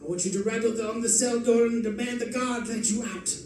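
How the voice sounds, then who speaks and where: An actor playing a gleeful, erudite criminal mastermind in an old-time radio drama, florid and condescending in manner. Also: male voice, extremely high pitch, fairly even intonation, a little fast, darkish tone. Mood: commanding, theatrical. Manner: theatrical, condescending, chuckling, florid. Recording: distant, very echoey live stage recording, faint noise.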